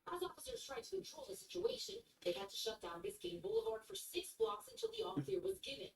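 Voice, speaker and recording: A woman reading a scripted news report in a formal, measured way. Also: very high voice, sing-song, gravelly, a little hiss or hum. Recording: echoey news broadcast audio.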